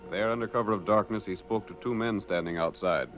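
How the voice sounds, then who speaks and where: A man's voice: lowish voice, fairly quick, soft background music. A man reading scripted narration for an old-time radio drama. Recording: dull lo-fi old radio recording.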